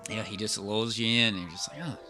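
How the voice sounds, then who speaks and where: A man's voice: on the low side, fairly even intonation, fast, over music. A man co-hosting a podcast in casual, colloquial chat, exclaiming and restarting as he reacts to a horror story. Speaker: podcast co-host. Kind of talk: casual chat about a horror radio drama.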